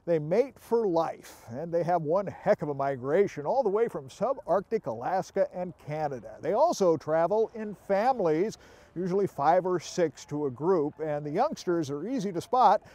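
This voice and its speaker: A man's voice: fairly high pitch, expressive, normal speed, mellow. A middle-aged man hosting a travel show, narrating in an upbeat, folksy way, sometimes on camera outdoors. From Oregon. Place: outdoors in woods and by a roadside.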